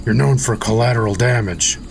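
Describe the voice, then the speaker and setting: An actor playing a patient, level-headed soldier and mentor in an audio drama. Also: male voice, medium pitch, fairly quick, vocal fry, soft background music, lightly acted. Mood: firm.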